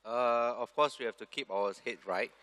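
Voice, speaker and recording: A male team manager answering pre-match interview questions in hesitant, halting phrases. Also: mid-range voice, bright, forceful tone.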